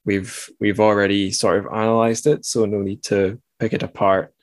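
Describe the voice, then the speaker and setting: A man hosting a casual podcast interview in a playful, halting way that often doubles back on words. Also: lowish voice, flat delivery, no echo. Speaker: podcast host.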